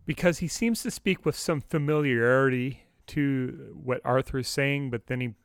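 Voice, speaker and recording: A middle-aged man talks as a podcast co-host in a casual discussion. He explains things in measured detail, with a mid-range voice and very little room sound. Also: mid-range voice, smooth, no echo, studio quality. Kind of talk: casual discussion of a radio drama.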